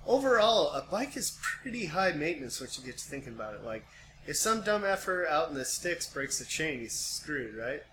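Bright-voiced American man, slangy, noisy audio. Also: on the high side, sing-song, normal speed. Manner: sweary, slangy. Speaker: podcast co-host. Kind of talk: casual chat. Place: home studio. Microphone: podcast microphone. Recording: clearly noisy amateur podcast recording.

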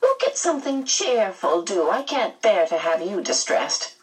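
A genteel storybook lady from another world voiced by a woman reader. She is brisk and kindly, fussing and soothing with a lilting rise and fall. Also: mid-range voice, very animated, recorded from a distance, rough recording.

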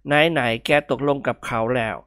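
A woman voicing a calm young doctor who lectures his friends firmly in a storybook reading. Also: extremely low pitch, moderate pace, no room sound, clean studio sound, pitched below the performer's own voice. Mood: lecturing.

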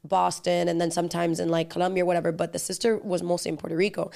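A young woman guest talks at a quick clip in relaxed conversation. She fills her sentences with "like" and switches into Spanish phrases mid-thought. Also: fairly low pitch, gravelly. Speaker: guest, singer and actress. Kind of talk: casual interview chat.

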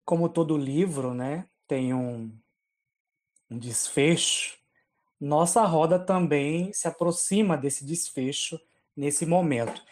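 A podcast host leads the segment in a measured, announcing way. The voice sits at a middle pitch. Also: a little mellow. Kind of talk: podcast hosting.

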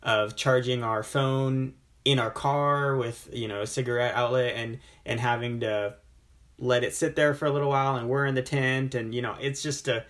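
A man's voice: medium pitch, steady tone, moderate pace, fairly bright tone. A man hosting a casual podcast interview, talking enthusiastically and at length, often stopping to say "you know". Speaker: podcast host.

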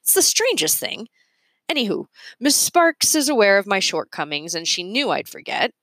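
A woman's voice: medium pitch, big pitch swings, normal speed, fairly bright tone, no room sound. A woman voicing an eccentric, forgetful male inventor in a children's storybook, whimsical and emphatic. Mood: breezy, confiding.

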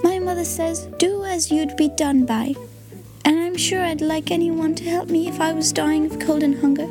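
A kind, cheerful little girl from a storybook, speaking gently and softly, as a woman reading aloud puts her on. Her voice sits very high, pitched up from the narrator's own already high tone, with soft music behind it. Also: fairly even intonation, moderate pace. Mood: earnest.

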